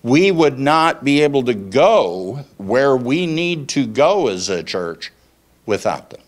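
A man in his sixties preaching conversationally, in deliberate phrases, putting questions to his congregation into a handheld microphone. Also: mid-range voice, big pitch swings. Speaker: pastor. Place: small church meeting room, indoors. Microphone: handheld microphone held at chest.

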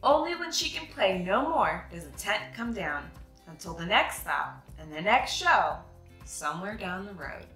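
A young adult woman reading a children's picture book aloud with expressive, dramatic phrasing. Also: medium pitch, a little slow, creaky, roomy sound, soft background music. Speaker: children's read-aloud host.

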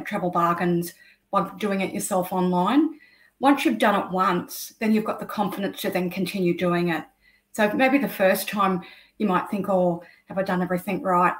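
A middle-aged woman with an Australian accent, explaining at length as an interview guest, with many ums. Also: medium pitch. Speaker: guest, travel blogger. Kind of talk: video call interview. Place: indoor room. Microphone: video call. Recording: echoey room over video call.

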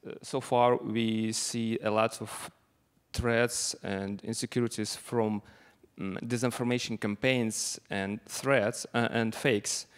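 A man in his thirties with a Russian accent speaks on a conference panel, his voice low and fairly monotone. He halts often and fills gaps with "uh" and "like". He talks into a handheld mic in a large conference room. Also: clear, resonant tone. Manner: halting, many fillers. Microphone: handheld microphone.

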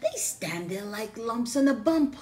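A cheeky, stubborn schoolgirl from a picture book, put on by a woman reading it aloud. Her voice sits high and swoops all over the place. She talks loudly, in a sing-song way, and stretches out key words. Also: very animated. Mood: scornful. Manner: loud, sing-song, drawn-out emphasis.

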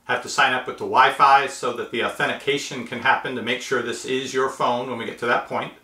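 A man in his fifties presents a tech tutorial. His voice is bright and medium in pitch, and he explains things methodically, one step at a time. The room adds a noticeable echo. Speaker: host of a tech tutorial channel. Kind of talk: step-by-step how-to instruction. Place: small indoor room, seated at a table. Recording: roomy indoor echo.

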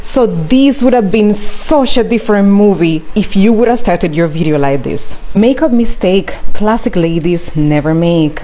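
A woman's voice: medium pitch, animated, measured pace, few pauses, mellow. A woman with a Caribbean accent giving casual reaction commentary as a content creator, emphatic and cautioning.

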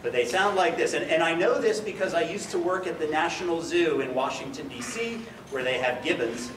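Excited middle-aged guy teaching a bunch of kids, a bit high voice, mic picking up lots of room noise. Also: brisk. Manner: enthusiastic, mixes English with Spanish phrases; walks and gestures in front of the audience. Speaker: visiting scientist giving a school talk. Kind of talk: classroom talk with Q and A for children. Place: large school cafeteria with many children. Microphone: wireless headset or lapel mic. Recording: roomy hall, from a distance, children noisy behind.

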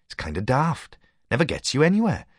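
A man's voice: medium pitch, huge pitch swings, fairly quick, husky, no echo, clean studio sound, pitched above the performer's own voice. A man voicing a gloomy, sceptical young lad who grumbles in a wry, matter-of-fact way for a short story. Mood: wry, dismissive.

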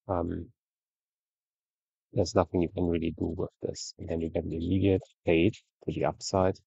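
Gravelly, deep-voiced man reviewing trading charts. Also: moderate pace, no echo, highs cut off. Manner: relaxed, conversational, frequent "right?" tags. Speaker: trading educator. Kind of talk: screen-share trading review.

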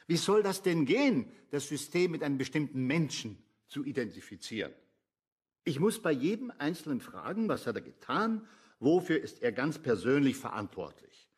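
An actor playing an earnest, conscientious intellectual man in a radio play, husky and argumentative. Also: fairly high pitch, big pitch swings, moderate pace. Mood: agitated, arguing. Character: Jakob Ammon, earnest, conscientious left-wing intellectual man.

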